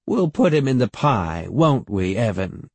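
A man voicing a big, loud old great-aunt in a storybook, teasing and menacing, with bellowing, probing questions. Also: sing-song, measured pace, husky, no room sound, exaggerated voice. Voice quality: deep-throated.

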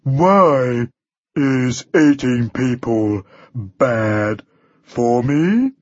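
Huge storybook dragon, deep bass, extremely slow, dim-witted menace, rough-edged, dry muffled recording. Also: male voice, expressive, darkish tone, no echo. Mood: dim puzzlement. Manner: ponderous, very slow, puzzled deliberation.

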